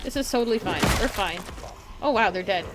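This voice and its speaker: A woman's voice: mid-range voice, animated, a little fast, very noisy. A woman giving casual live gameplay commentary in a playful way, talking to herself and her chat. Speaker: game streamer, host.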